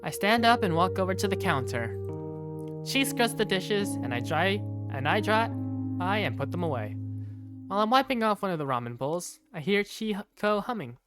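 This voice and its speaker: A woman's voice: mid-range voice, normal speed, lightly acted. A woman voicing an earnest young male student who narrates his daily life in a fan-dubbed visual novel. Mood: casual, content. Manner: expressive first-person storytelling. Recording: background music under the voice.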